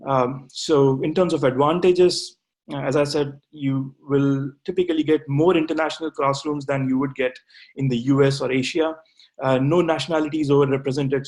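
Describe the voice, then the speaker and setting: A man in his thirties talks through a webinar as an MBA graduate. His voice sits a bit higher than most men's, and he often stalls on "uh" and restarts his phrases while he explains. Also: darkish tone. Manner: hesitant, with frequent "uh" fillers and restarts. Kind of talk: webinar talk answering questions.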